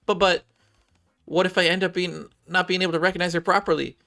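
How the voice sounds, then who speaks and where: A man's voice: sits high, fairly even intonation, quick pace, creaky, pushed and bright, pitched above the performer's own voice, full character voice. A man voicing a timid, nervous girl in a game, stammering hesitantly and apologetically.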